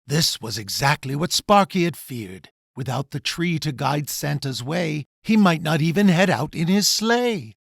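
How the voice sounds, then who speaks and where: Slow, expressive male storyteller, creaky husky voice. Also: a little high, no room sound, studio quality. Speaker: author reading own children's book. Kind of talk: rhyming storybook narration.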